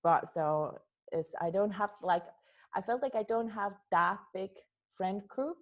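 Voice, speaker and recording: A woman's voice: mid-range voice, animated, a little slow, phone-quality sound. A young woman from Finland with a Finnish accent, answering interview questions about her dance work, often repeating words as she searches for them. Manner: hesitant, repeats words while thinking. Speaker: dance artist.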